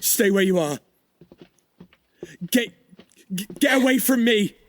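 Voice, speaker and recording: An actor playing a menacing, controlling fiancé in an audio drama, sneering, cruel and condescending. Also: male voice, high voice, animated, takes it slowly, very noisy. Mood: alarmed.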